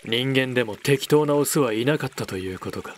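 A man playing an aloof, gruff male cat beastman in a voice drama, curt, haughty and dismissive. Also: mid-range voice, fairly quick, slight background noise, close to an everyday voice. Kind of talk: voice drama acting.

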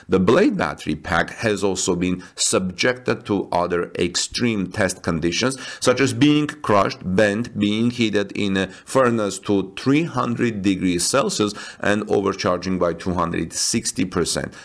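A man with a somewhat low voice reads tech news as a voice-over. He breaks off into casual asides, stumbling over fillers and restarting his sentences. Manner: halting, with frequent fillers and restarts. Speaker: tech news channel host. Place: voice-over over stock footage.

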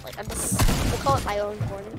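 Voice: mid-range voice, steady tone, fast talker, loud music behind the voice. A child chatting casually with another player during a game.

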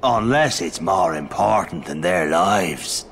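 Sly old game pirate captain, commanding, gravelly, very slow and sardonic. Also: male voice, low-pitched, lively intonation, a bit bright, a little hiss or hum, full character voice. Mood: sly, menacing. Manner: slow, theatrical, sardonic drawl. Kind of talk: video game cutscene acting.

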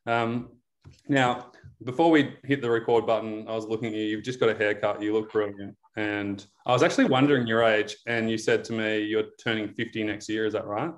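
A man in his thirties talks through a video call as coach and interviewer. His voice sits on the low side, and he laughs easily and fills pauses with ums and likes. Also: fairly even intonation, poor audio. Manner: chatty, laughs often, frequent fillers. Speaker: interviewer, recovery program coach. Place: indoor room.

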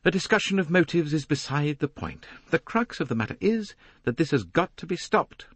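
A man voicing an impatient, indignant wealthy young married woman with a sharp, agitated manner in an audiobook. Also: a little high, sing-song, moderate pace, husky, no room sound, pitched above the performer's own voice.

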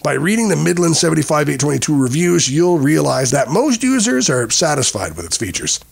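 Male narrator for scripted product review video; husky, gravelly voice at medium pitch. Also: big pitch swings, fairly quick.

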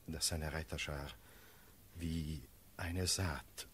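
A very deep-voiced, grave cavalry officer in a classical radio drama. He declaims slowly and solemnly, like a formal battle report, over faint noise. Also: fairly even intonation, fairly bright tone, full character voice. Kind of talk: classical German radio play verse acting.

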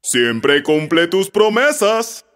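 A man voicing an old king and fond father, firm, kindly and measured, in a children's storybook cartoon. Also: high voice, very animated, fairly bright tone, full character voice.

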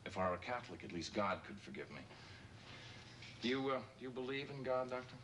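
A man's voice: mid-range voice, very animated, brisk, fairly bright tone. An American man acting a bitter, sardonic, weary suburban husband of forty in a 1970s television drama. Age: forties. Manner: sarcastic, weary. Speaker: actor in a television film. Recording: noisy old TV film soundtrack.

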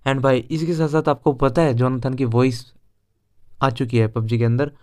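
Young man, Indian accent, smooth clear voice, mid pitch, informal gaming video host, chatty walkthrough commentary. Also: steady tone, moderate pace, darkish tone. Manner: informal, chatty, mixes Hindi with English words. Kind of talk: casual game walkthrough commentary.

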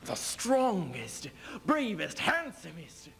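Sardonic, proud king for stage drama young male actor: measured pace, higher-set voice, theatrical and mocking. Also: huge pitch swings, vocal fry, a bit bright, soft background music, heavily acted. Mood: bitter, aggrieved. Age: young adult. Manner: mocking, declamatory, deliberate. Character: proud, sardonic aging king goading his wife. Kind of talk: theatrical duo interpretation, stage reading. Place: indoor stage in front of curtain.

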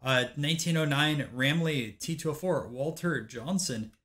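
A man's voice: fairly high pitch, moderate pace, a bit bright. A man hosting a card collecting channel, casually narrating a rundown of auction sales with frequent "uh" fillers.

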